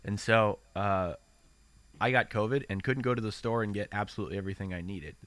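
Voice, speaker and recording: A man's voice: lowish voice, a little fast, a bit bright, no echo. A man hosting a casual podcast chat, sounding congested, rambling and correcting himself as he talks. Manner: rambling, self-correcting, laughs often. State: congested after illness. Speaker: podcast host.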